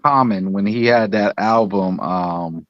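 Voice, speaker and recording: A man's voice: lowish voice, measured pace, fairly bright tone, no room sound, dull audio. A man co-hosting in casual chat, agreeing along with short affirming interjections.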